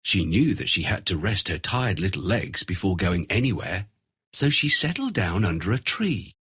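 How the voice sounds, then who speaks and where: A man narrating a fairy tale in an expressive, scripted storytelling style. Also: low-pitched, moderate pace, slightly rough, dry recording, studio quality.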